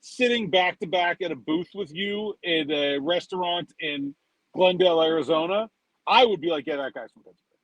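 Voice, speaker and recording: A man's voice: on the high side, normal speed, fairly bright tone, no room sound, rough recording. A man chatting casually as a sports reporter and podcast co-host, wandering into conversational asides.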